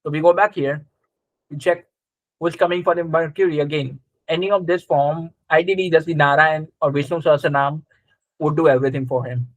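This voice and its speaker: A man with a fairly high voice teaches a live class in a conversational way. He explains step by step and often checks with short questions, recorded close and dry. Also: steady tone, a little fast, no room sound, low-quality recording. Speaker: astrology teacher. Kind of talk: live interactive class, explaining and quizzing students.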